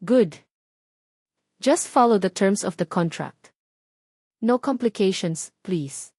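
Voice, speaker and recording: A woman's voice: mid-range voice, animated, slow, a little mellow, husky, no echo, clean studio sound, full character voice. A woman voicing a cool, businesslike wealthy man with a curt manner in a romance audio drama.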